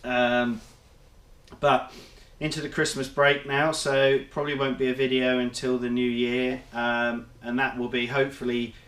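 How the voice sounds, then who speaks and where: A man's voice: medium pitch, level tone, unhurried, fairly bright tone, roomy sound. A man, nasal from a cold, methodically explaining engine work in his workshop vlog. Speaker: motorcycle engine builder.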